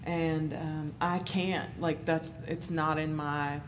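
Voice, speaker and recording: A woman's voice: deep voice, level tone, slow. A woman who sings, talking casually and agreeably in conversation, with short affirmations along the way. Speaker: singer and musician. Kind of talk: casual conversation about singing. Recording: clearly noisy background ambience.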